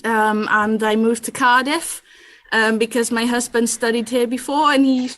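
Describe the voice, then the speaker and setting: A woman's voice: on the high side, steady tone, normal speed, a bit bright. Woman, hesitant, with many ums. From Netherlands. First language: Dutch. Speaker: award winner, digital skills trainer. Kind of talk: onstage interview.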